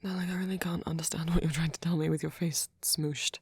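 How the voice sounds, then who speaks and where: A man playing a tender, fretful vampire boyfriend in an ASMR roleplay, speaking softly and hesitantly, often close to a whisper. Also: fairly high pitch, little pitch movement, fast talker, no room sound. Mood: worried, tender. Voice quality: breathy, often near whisper. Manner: soft-spoken, hesitant, stammering, tender. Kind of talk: ASMR roleplay audio drama.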